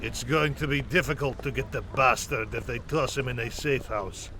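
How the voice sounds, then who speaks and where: A man's voice: mid-range voice, normal speed, sharp tone, a little hiss or hum, natural voice. An actor in an audio drama playing a hard-edged henchman, terse and obedient as he reports to a boss. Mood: tense, businesslike.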